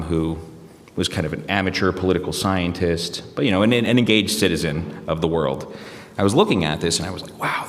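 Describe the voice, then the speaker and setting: A man with a very low, deep voice answers interview questions at length, pausing on fillers and restarting his sentences as he thinks. He is an American journalist. His voice carries the long reverb of a large hall. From United States. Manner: reflective, hesitant with many fillers and restarts. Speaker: journalist guest.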